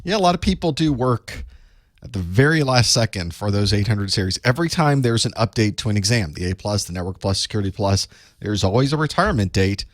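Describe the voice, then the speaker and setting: A man from the United States with a chest cold that makes his voice a bit raspy, chatting casually with viewers as the host of a live study group. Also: fairly low pitch, animated, fairly quick, no room sound, clean studio sound. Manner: chatty, rambling, self-deprecating asides. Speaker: online course instructor hosting live study group. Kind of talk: casual live-stream chat.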